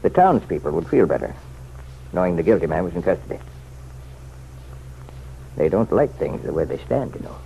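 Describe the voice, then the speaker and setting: A man's voice: deep voice, very animated, normal speed, creaky, long pauses, dark tone, exaggerated voice. An actor in an old-time radio drama playing an insistent, folksy townsman who offers pointed, chummy advice. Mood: earnest, pressing. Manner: folksy, chummy, leaning and persuasive. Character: insistent, insinuating townsman, nosy self-appointed helper to the sheriff. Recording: muffled, noisy vintage radio recording.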